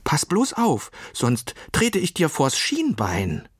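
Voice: mid-range voice, huge pitch swings, takes it slowly, husky, no echo, clean studio sound, voice pushed up, full character voice. A storyteller voicing a plucky, impatient young female sheep who wants to be a pirate, bossy and blustering. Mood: defiant. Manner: bossy, blustering, calls out loudly.

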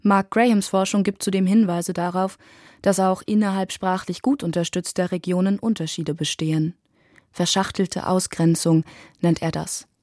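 Female radio reporter, mellow tone, dry studio sound, measured scripted read. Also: on the low side, fairly even intonation, no room sound.

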